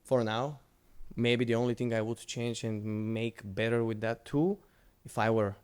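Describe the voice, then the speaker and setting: Male presenter, English, relaxed, conversational, stumbles and restarts mid-sentence. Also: medium pitch, unhurried, clean tone. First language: Bulgarian. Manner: loose, conversational, with frequent restarts. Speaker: conference speaker. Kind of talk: conference presentation with Q&A.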